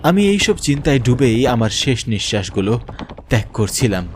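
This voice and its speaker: A man's voice: mid-range voice, very animated, moderate pace, slight background noise. A man narrating a scripted first-person horror story in a grave, dramatic way.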